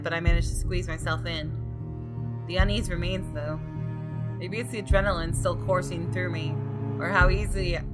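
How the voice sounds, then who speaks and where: A woman gaming YouTuber reading a visual novel aloud as dramatic narration. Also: fairly low pitch. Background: music.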